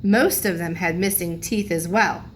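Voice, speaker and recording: Lady teacher reading a kids book, takes her time, expressive, some noise behind her. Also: lowish voice, unhurried. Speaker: teacher, intervention specialist reading aloud. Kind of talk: children's storybook read-aloud. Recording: background noise in room recording.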